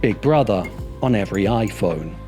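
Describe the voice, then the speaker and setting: A man's voice: on the low side, expressive, normal speed, dark tone. A man reading a scripted video essay narration in a sardonic, opinionated manner. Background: music behind the voice.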